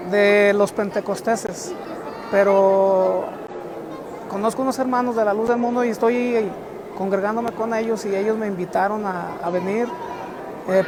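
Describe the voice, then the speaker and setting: Hesitant Mexican visitor in a noisy crowd. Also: mid-range voice. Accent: Mexican Spanish. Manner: hesitant, repeats words. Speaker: event visitor giving a testimony. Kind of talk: street interview. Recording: outdoor street interview, crowd noise.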